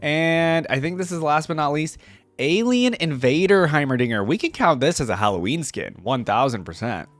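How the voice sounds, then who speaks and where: Casual male reactor with lively pitch. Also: normal speed, no echo, faint music behind. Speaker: video creator reacting to game skins.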